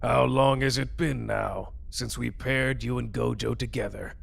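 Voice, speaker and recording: A man playing a calculating, coldly approving superior in an audio roleplay, gravelly and husky, speaking formally and condescendingly. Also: mid-range voice, steady tone, unhurried. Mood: smug, approving.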